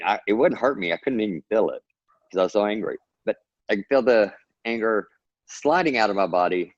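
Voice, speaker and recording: Deep-voiced man, dull call audio. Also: no room sound. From Texas. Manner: earnest, rambling, encouraging. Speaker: guest author. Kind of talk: casual interview talk.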